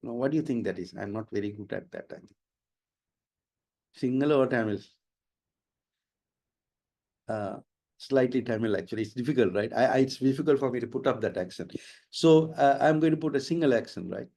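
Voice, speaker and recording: A man's voice: medium pitch, brisk, long pauses, mellow. A middle-aged man with a slight Sri Lankan accent, giving an explanatory IELTS webinar lecture and dropping in Sinhala phrases. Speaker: IELTS trainer.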